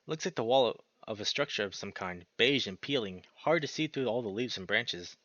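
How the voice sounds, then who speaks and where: A man reading a visual novel aloud as expressive narration, breaking off into casual, sweary, slangy reactions. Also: mid-range voice, normal speed, no echo, lo-fi sound. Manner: animated, sweary, slangy interjections.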